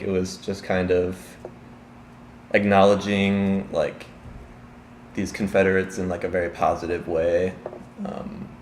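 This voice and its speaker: A man's voice: on the low side, darkish tone, reverberant, slight background noise. A young man from Chicago answering street interview questions casually, hesitant and full of fillers. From Chicago, Illinois.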